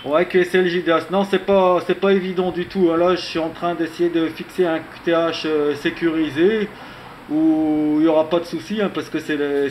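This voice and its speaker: Higher-voiced man on amateur radio. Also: a little mellow. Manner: conversational, punctuates with tag words. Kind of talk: casual radio contact chat. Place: radio station in Morocco. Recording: faint background hiss on radio recording.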